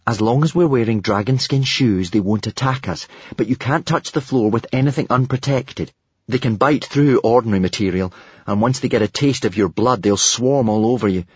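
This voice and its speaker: A man's voice: medium pitch, moderate pace, a little mellow, slightly rough, dry recording, dull audio, lightly acted. A man voicing a sensible, earnest boy hero in a storybook. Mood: reassuring. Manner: reassuring, earnest.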